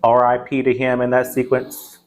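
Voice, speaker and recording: A man's voice: medium pitch, monotone, moderate pace. A man in his forties chatting casually as a movie review co-host, rambling and chatty, recorded a few feet from a camera in a small room. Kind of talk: casual film chat. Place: small indoor game room.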